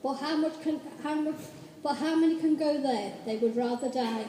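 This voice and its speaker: A woman playing a meek, polite Victorian gentleman in a radio play, hesitant and deferential. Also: high voice, moderate pace, lightly acted. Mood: earnest, polite. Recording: echoey hall, far off, noisy with clicks.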